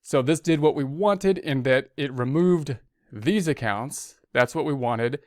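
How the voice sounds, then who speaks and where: A man's voice: mid-range voice, measured pace, a little mellow, no room sound, studio quality. A man giving an informal tutorial, talking through each step conversationally and repeating himself as he goes. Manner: conversational, repetitive, talks through steps as self-directed speech. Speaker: accounting instructor. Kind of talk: informal spoken tutorial walkthrough.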